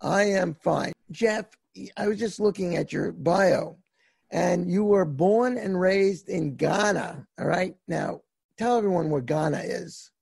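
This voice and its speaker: A man's voice: high-pitched, takes it slowly, gravelly, darkish tone, slightly rough, no echo. A man hosting a podcast interview, asking folksy questions with frequent restarts and fillers. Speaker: podcast host.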